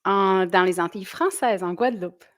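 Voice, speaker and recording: A woman's voice: mid-range voice, moderate pace, no echo, clean studio sound. A woman in her forties from southwestern France, with a mixed accent carrying Québécois traces, chatting as a guest and laughing easily. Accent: French with Québécois traces. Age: 40s. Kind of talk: casual interview chat. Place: small studio.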